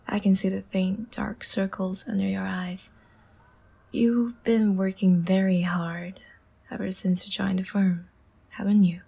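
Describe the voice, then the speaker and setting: Woman playing a languid, breathy, half-whispering vampire seductress. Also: mid-range voice, full character voice. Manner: slow, soft, teasing and soothing. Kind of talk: intimate ASMR audio roleplay.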